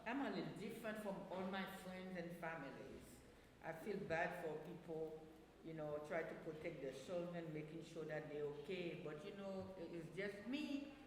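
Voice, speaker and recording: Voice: medium pitch, animated, normal speed, heavy reverb, long reverb tail, slight background noise, lightly acted, rough recording. An improv performer voicing a friendly, regretful fox who speaks in a hesitant, earnest way. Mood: earnest warning. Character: friendly fox warning a beaver, sympathetic and regretful.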